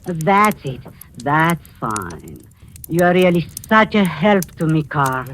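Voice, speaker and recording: An actress playing a scheming, falsely sweet middle-aged aunt in old radio suspense style, with halting, menacing delivery. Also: female voice, sits low, very animated, fairly bright tone, heavily acted. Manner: cloying endearments, deliberate halting menace. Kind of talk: 1940s radio suspense acting. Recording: noisy old-time radio recording.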